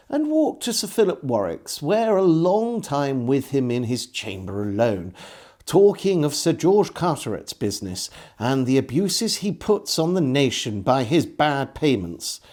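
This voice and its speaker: A man reading an old diary aloud as a storyteller, in measured phrases with deliberate pauses. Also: on the high side, a little mellow. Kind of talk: scripted literary narration of an old diary.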